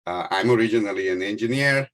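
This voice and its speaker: A man's voice: fairly low pitch, fast, fairly bright tone. A middle-aged man from Mexico who speaks English as a second language with a Spanish accent, giving a talk on a video call with many fillers and restarts. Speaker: panel speaker.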